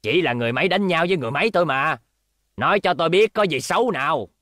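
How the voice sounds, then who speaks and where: A man's voice: on the high side, expressive, rapid-fire, fairly bright tone, dry recording, clean studio sound. A man dubbing a belligerent, defiant middle-aged robot maker in a cartoon, grumbling and combative. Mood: irritated, defiant.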